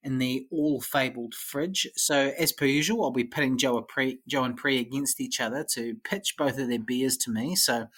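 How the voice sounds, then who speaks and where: A man's voice: medium pitch, moderate pace, hardly any pauses, a bit bright. A man hosting a podcast, casually teasing his co-hosts, swearing, and introducing the segments. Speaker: podcast host and quizmaster. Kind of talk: casual chat.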